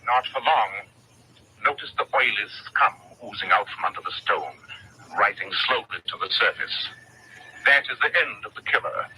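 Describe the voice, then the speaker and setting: An actor playing a grave, authoritative detective in a measured 1940s radio drama style. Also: male voice, on the low side, lively intonation, natural voice. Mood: grimly resolute. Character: grave, authoritative male detective explaining a deadly killer. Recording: old radio recording, faint noise.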